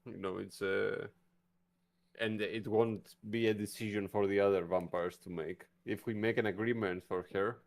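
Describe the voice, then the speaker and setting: A man's voice: fairly low pitch, fairly even intonation, moderate pace, vocal fry. A man playing a tabletop roleplaying game, tentatively thinking aloud in casual discussion.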